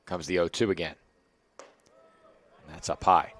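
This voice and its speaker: A young man with a hoarse, raspy voice, calling baseball play-by-play pitch by pitch. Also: slow pace. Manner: steady, calls each pitch and count. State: hoarse, losing his voice. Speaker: play-by-play announcer.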